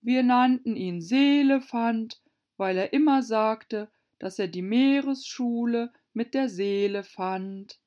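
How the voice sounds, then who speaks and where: A gloomy old female turtle creature from a storybook, acted out by a woman, drags out each phrase in a hollow, sighing voice. She is mournful and quick to take offence. The recording is slightly muffled. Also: animated, a little mellow. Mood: annoyed. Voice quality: deep, hollow voice. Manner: slow, drawn out, sighing.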